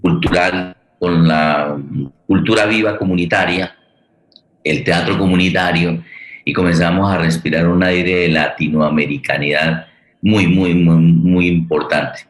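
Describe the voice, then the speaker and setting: A Colombian man with a very low voice hosts a discussion in a conversational way. He often repeats himself while searching for words. The sound has a strong room echo. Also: fairly even intonation. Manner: halting, searching for words with repetitions. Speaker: forum host. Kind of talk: conversational political and cultural discussion.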